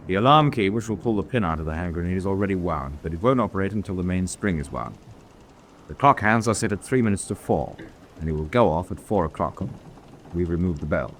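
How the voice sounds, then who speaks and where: A man playing a methodical, calm prisoner-of-war officer giving matter-of-fact instructions in a radio drama. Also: deep voice, fairly quick. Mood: brisk, instructive. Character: methodical, calm POW officer briefing an escaper. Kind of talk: vintage-style war radio drama acting. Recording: noisy track with background ambience.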